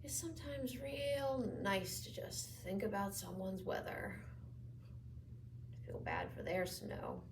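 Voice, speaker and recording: Woman playing a wistful patient, real quiet and breathy, wry and regretful, dramatic monologue, slightly noisy recording. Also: medium pitch, animated, takes it slowly, recorded from a distance. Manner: quiet, breathy, often near-whispered, wry. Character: wistful, dependent patient fond of her nurse, quietly regretful.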